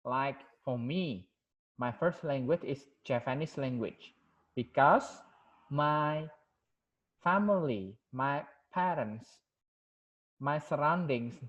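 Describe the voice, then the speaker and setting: Halting Indonesian-accented male lecturer, lo-fi. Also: mid-range voice. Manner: halting, many fillers and repeated "yeah". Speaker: university lecturer. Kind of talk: online class review.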